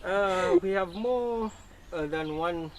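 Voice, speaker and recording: A man's voice: high-pitched, takes it slowly. A man with a Zambian accent hosting a talk show and putting questions to a guest. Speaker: talk show interviewer and host. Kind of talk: interview questioning.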